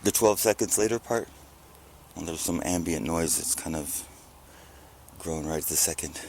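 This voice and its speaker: A man's voice: very deep voice, normal speed, creaky, a little mellow, slight background noise. A man giving a rambling, off-the-cuff monologue as a vlogger, with a sarcastic, mock-earnest manner.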